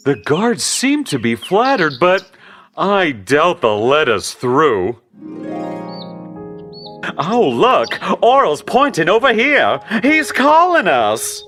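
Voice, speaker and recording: A woman's voice: on the low side, big pitch swings, slow, a bit bright, pitched below the performer's own voice, heavily acted. A woman voicing a courteous, easily impressed king in a theatrical, stately storybook manner. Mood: uncertain. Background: faint music.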